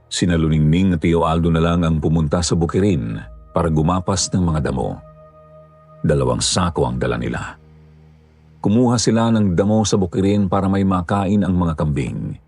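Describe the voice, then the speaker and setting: A man narrating a horror story in a steady, measured storyteller manner. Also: sits low, husky, faint music behind.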